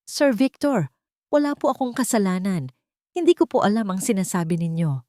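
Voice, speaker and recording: A woman's voice: mid-range voice, big pitch swings, normal speed, mellow, no room sound, studio quality, pitched above the performer's own voice, close to an everyday voice. A woman voicing a respectful, frightened man who pleads his innocence in an audio drama. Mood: pleading, alarmed. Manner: polite, pleading. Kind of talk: dramatized audio story dialogue.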